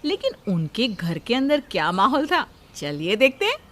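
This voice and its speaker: A woman's voice: on the high side, wildly swooping pitch, normal speed. A woman narrating a scripted story in a dramatic storytelling style.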